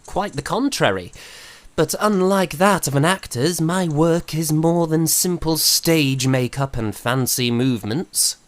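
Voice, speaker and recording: An actor playing a young composer in a period audio drama, courteous and earnest, with formal, slightly hesitant speech. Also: male voice, fairly high pitch, measured pace, natural voice. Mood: earnest, curious. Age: young adult.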